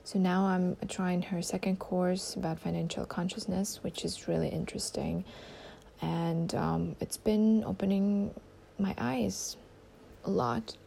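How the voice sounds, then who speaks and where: A woman's voice: on the low side, fairly even intonation, a little slow, gravelly, warm tone, slight background noise. A young adult woman hosting a casual solo podcast, talking in a rambling way with many ums and restarts. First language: not German. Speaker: podcast host.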